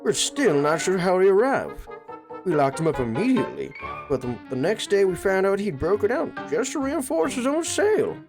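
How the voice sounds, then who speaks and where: A performer plays a dignified, compassionate village leader from a cartoon. The delivery is polite, formal and earnest, with lively pitch movement over clearly audible music. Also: normal speed, poor audio. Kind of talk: comic book fan dub cartoon acting.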